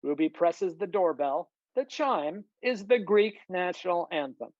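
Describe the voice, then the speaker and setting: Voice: mid-range voice, lively intonation, a little slow, a little mellow, no room sound, dull audio. A narrator reading screenplay stage directions and scene headings in a scripted style. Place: close, dry room on a video call.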